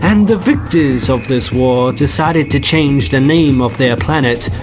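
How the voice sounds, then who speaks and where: A man narrating a radio drama in a measured, unhurried storytelling manner. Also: medium pitch. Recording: loud music behind.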